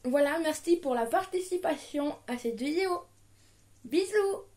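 A young woman in her twenties recording a casual diction tutorial at home, chatting and reading children's book blurbs aloud with lively intonation. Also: sits high, measured pace. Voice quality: muffled, as if nasal, from a pen held in her mouth. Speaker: vlogger. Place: small living room at home, indoors.

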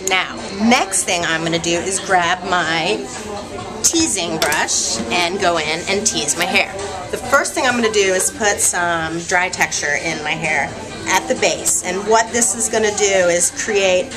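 A woman's voice: on the low side, a little slow. A woman in her thirties, a hair stylist, casually explaining a styling tutorial step by step in a salon. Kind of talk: how-to tutorial. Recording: salon video with loud music behind. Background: loud background music.

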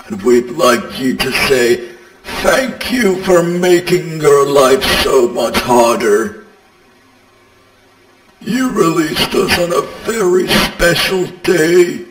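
A man plays a vengeful haunted animatronic creature from a horror game, bitter and menacing. The pitch sits high for a male voice, and every phrase is drawn out extremely slowly. The voice rings from a distance in a long, echoing reverb. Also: expressive. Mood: cold, accusing. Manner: slow, menacing, drawn-out delivery. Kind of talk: horror game character voice lines. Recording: echoey long reverb, distant, music behind.

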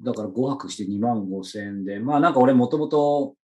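A man speaks as a podcast host in a casual chat. He talks quickly at a mid-range pitch, with an informal, chatty manner. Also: warm tone.

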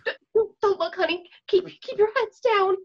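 A woman's voice: very high-pitched, moderate pace. An actress playing a frantic, protective, pious frontier mother in a Western radio play. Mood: panicked, urgent. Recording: dull home video call audio.

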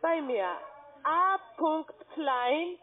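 A woman's voice: high voice, drawn-out words. A woman phone scammer speaking broken German, blunt and rude. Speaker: scam caller. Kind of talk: phone call. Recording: tinny phone line.

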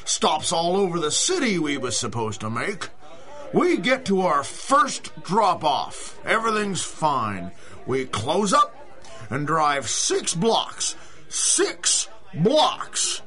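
An actor playing an indignant working-class food hauler who blusters and complains in a radio drama. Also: male voice, a little high, huge pitch swings, slow pace, bright, forceful tone, soft background music, heavily acted. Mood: exasperated. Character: indignant working-class male produce business owner. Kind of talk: 1930s-style radio drama acting.

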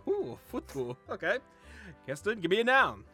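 An excitable man hosting a party word game in casual banter with friends. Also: high voice, moderate pace, breathy edge, soft background music. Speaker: host.

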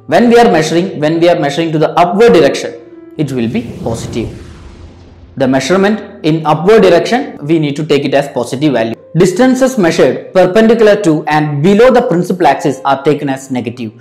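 A young man teaching physics to camera, explaining step by step and counting values out loud in a patient, repetitive way. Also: a little high, echoey room. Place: indoor studio in front of a backdrop. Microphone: clip-on lapel mic.

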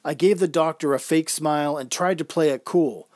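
A man narrates a first-person tale in an old-time radio storytelling style. His voice sits a little high for a man, and the sound is dry and close. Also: moderate pace, darkish tone, no room sound.

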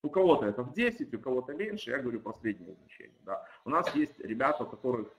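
A man who is a non-native speaker of Russian chats loosely through a video call, stacking fillers and restarting his sentences as he explains his product. His voice sits at an ordinary middle pitch. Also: expressive, fast, low-quality recording. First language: not Russian, though Russian-speaking. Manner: chatty, many fillers and restarts. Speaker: startup team lead and webinar guest.

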